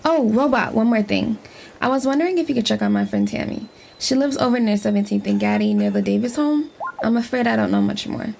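An actress playing a caring, easygoing community leader at a riverside camp in an audio drama, talking in a casual, friendly way. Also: female voice, on the high side, a little fast, vocal fry, a little mellow, a little hiss or hum. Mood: concerned.

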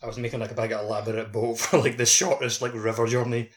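A man's voice: medium pitch, level tone, fast talker. A man co-hosting a gaming podcast, telling anecdotes in very informal, casual chat peppered with filler words. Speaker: podcast co-host.

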